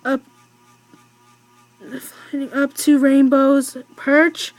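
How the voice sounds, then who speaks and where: A young girl reading a children's storybook aloud in a halting way, stumbling over words and correcting herself. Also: sits high, little pitch movement, no room sound, soft background music, rough recording. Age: child or teen, in school. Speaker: read-aloud narrator.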